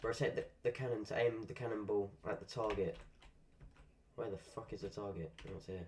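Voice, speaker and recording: A man's voice: fairly low pitch, steady tone, fairly quick, a little mellow, a little hiss or hum. A man chatting casually while live-streaming a game, rambling through asides and swearing at the game now and then. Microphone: desk microphone.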